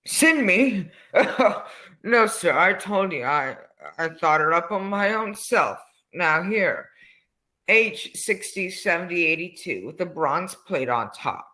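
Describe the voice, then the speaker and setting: A man's voice: sits high, expressive, takes it slowly, bright, forceful tone, heavily acted. A man playing an eager, polite, fussy old salesman in an old-time radio drama, folksy and repetitive in his talk. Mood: chirpy, proud.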